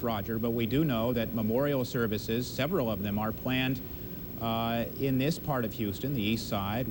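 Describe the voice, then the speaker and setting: An American man reporting live as a television news correspondent, with a measured, halting delivery. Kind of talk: live field report, semi-improvised. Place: inside a large space training building. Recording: old TV broadcast, clear background rumble.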